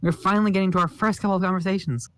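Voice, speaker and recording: A man's voice: sits high, fairly even intonation, very fast, a bit bright, clean tone. A man voicing a cheerful, naive teenage boy who asks eager, pleading questions. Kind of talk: comic read-along voice acting.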